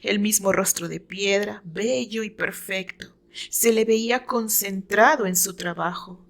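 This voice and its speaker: A woman's voice: mid-range voice, measured pace, gravelly, fairly bright tone. A woman with a Mexican accent reading fiction aloud as a dramatic narrator. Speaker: author narrating her own fiction.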